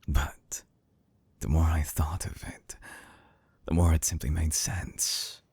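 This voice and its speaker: A man playing an obsessive, coolly clinical mad scientist in an intimate binaural audio roleplay, speaking slowly in a hushed, breathy near-whisper with long pauses. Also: a bass voice, little pitch movement, no room sound, full character voice. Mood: coolly reasoning. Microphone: close binaural microphone.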